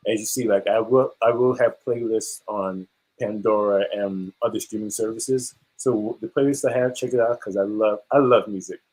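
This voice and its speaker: Husky low-voiced man from Guyana. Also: fairly even intonation, normal speed, darkish tone, rough recording. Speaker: musician guest. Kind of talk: casual interview chat.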